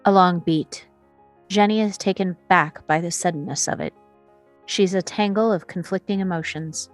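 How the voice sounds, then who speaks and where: A woman's voice: on the low side, moderate pace, soft background music. A woman narrating scene headings and action descriptions as scripted narration in a table read.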